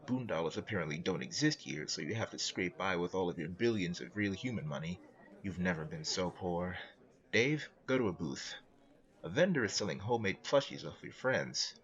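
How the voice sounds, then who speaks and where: A man narrates a comic script in a wry, dry way. His voice is low with very varied intonation, and the recording is a bit dull. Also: lively intonation, moderate pace, fairly bright tone.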